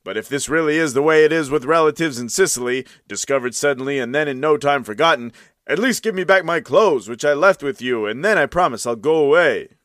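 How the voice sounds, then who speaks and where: A man's voice: fairly high pitch, animated, brisk, fairly bright tone, dry recording, clean studio sound. A man voicing a young man, cheated and locked out, who pleads and protests at a door in a storybook reading. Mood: pleading. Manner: pleading, indignant.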